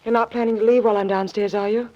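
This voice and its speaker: A woman's voice: mid-range voice, fairly even intonation, racing, lightly acted. Woman playing anxious mystery woman, old radio. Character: anxious, evasive young female mystery woman. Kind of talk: 1940s radio drama acting. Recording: muffled old radio recording, faint music.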